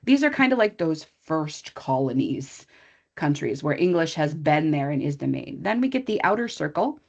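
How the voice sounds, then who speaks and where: A woman's voice: low-pitched, sing-song, moderate pace, gravelly. Chatty American woman teacher on a dull call. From USA. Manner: chatty and informal, thinking aloud with asides to the audience. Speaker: English teacher presenting a training webinar. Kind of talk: conversational online presentation.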